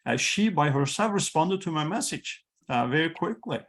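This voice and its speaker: Gravelly man with a Turkish background hosting. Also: fairly high pitch, animated, a bit bright. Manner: halting, many fillers and restarts. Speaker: host, institute president. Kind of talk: welcoming talk on a video call. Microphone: video call. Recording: video call audio.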